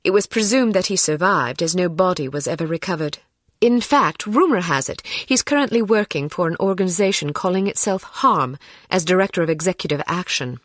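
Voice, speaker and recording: An actress playing a determined, sharp-tongued young British spy in a video game, speaking in a dry, articulate way. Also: female voice, lowish voice, animated, brisk, dry recording. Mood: matter-of-fact briefing.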